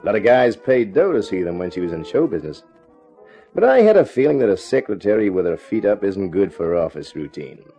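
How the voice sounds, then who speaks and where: An actor playing a wry, hard-boiled American private eye in a 1950s radio detective drama, deadpan and wisecracking. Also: male voice, lowish voice, a little fast, soft background music.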